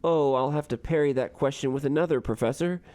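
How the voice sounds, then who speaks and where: A man playing a genial, erudite college gentleman in a comedic radio drama, speaking formally and philosophically. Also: mid-range voice, lightly acted. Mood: gently philosophical. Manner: genteel, measured, formal wording. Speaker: student radio drama actor.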